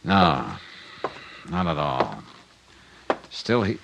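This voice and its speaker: An actor playing a cool, terse, hard-boiled insurance investigator in a 1950s radio drama. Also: male voice, a bass voice, very animated, drawn-out words, creaky, exaggerated voice. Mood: wry, quiet. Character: cool, wry male insurance investigator who narrates. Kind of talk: 1950s radio detective acting and narration. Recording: noisy, clicky old radio transcription.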